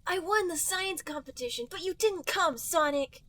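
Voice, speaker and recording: A woman's voice: very high-pitched, moderate pace, fairly bright tone, no room sound, voice pushed up. A woman voicing a hurt, whiny young male fox sidekick in a comic cartoon fan fiction reading. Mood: sad, mad.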